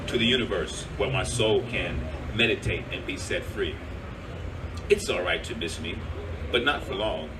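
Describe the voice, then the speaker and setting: Low-voiced man reading poetry at an event. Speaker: poetry reader, radio host. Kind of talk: spoken poetry reading with informal introductions. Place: live event with audience. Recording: distant mic, clear background noise.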